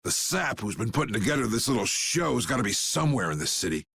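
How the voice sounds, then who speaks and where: Gravelly old guild boss. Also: male voice, medium pitch, very animated, fast talker, fairly bright tone. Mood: blunt, commanding. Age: older. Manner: blunt, folksy, gruff. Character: gruff, commanding old male guild leader, shrewd and blunt. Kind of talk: dramatic video game voice acting.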